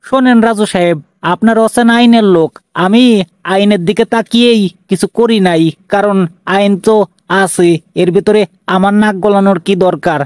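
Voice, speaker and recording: A self-important cartoon politician, acted by a man, with a very high-pitched male voice and a Bangladeshi accent. He sounds defensive and flustered, repeating himself and dodging. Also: fairly even intonation, moderate pace, clean tone, no echo, studio quality, natural voice. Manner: repetitive, self-important, evasive. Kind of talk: cartoon dialogue.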